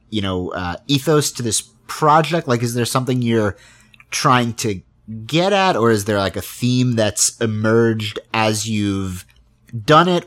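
A man with a somewhat low voice works as an interviewer in a relaxed, conversational style. He talks haltingly, circling and rambling as he thinks out loud. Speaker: interviewer, podcast host. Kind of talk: casual interview chat.

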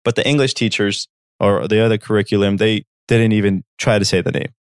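A man's voice: fairly low pitch, steady tone, brisk, smooth, no echo, studio quality. A man in his thirties from Armenia, a podcast host telling a personal story in an earnest, direct way and checking in with listeners. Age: 30s.

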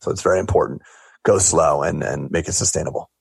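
Man, 40s, very low voice, gravelly, husky, talkative guest. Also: fast talker, no echo. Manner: talkative, reflective, jokey. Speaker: dentist guest. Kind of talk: casual podcast interview.

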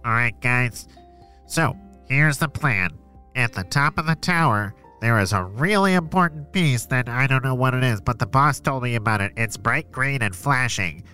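A man's voice: medium pitch, animated, normal speed, pushed and bright, soft background music, pitched below the performer's own voice. A man voicing a bossy, impatient leader of villainous ninjas in a children's adventure story. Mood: scheming, bossy. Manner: impatient, ordering others about. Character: bossy, exasperated male ninja gang leader.

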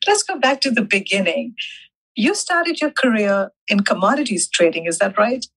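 A woman's voice: mid-range voice, big pitch swings, moderate pace, a bit bright, rough recording. A woman interviewer who asks questions and gives brief reactions.

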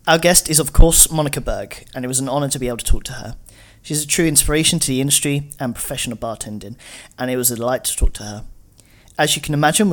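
A man hosting a podcast, introducing and interviewing a guest. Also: fairly high pitch. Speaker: podcast host.

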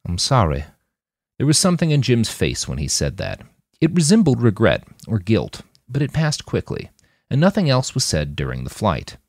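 A man reading a scripted story aloud as an expressive audiobook-style narrator. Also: medium pitch, normal speed, dry recording, studio quality. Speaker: author reading own fiction podcast.

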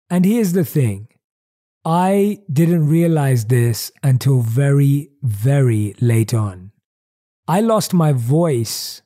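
Male host, motivational talk. Warm, mellow tone, mid pitch, reflective storytelling with slow stretched emphasis.

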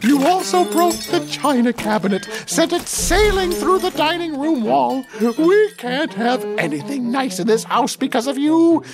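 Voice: expressive, a little slow, few pauses, over music, low-quality recording. A storyteller voicing a tiny, bitter mother who whines and screams up at her giant son. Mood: screaming. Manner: shrill and complaining.

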